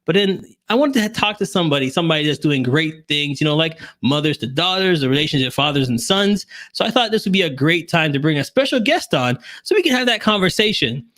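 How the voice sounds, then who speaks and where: An upbeat man with a fairly high voice, hosting a casual talk show. He chats in an animated, conversational way. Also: fast talker, hardly any pauses. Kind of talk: upbeat casual interview chat.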